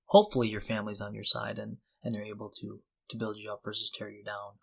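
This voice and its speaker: A man's voice: on the low side, completely flat delivery, quick pace, vocal fry, a bit bright. A man co-hosting a podcast, talking casually with earnest enthusiasm. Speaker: podcast co-host. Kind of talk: casual conversation.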